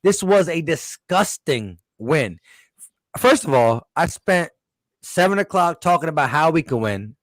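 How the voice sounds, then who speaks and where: Guy hosting postgame talk, voice going hoarse, kinda high pitched, very dry sound. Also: very animated, unhurried, no room sound. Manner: emphatic, repeats "listen". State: nearly losing his voice. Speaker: sports podcast host.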